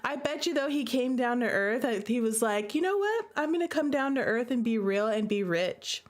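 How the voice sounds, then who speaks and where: A woman's voice: fairly high pitch, animated, normal speed. A woman giving casual livestream commentary, chatty, playful and gushing, punctuating her points with emphatic check-ins to her chat. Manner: emphatic, repeats "okay" and "listen" as tags. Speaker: game streamer reacting with live chat.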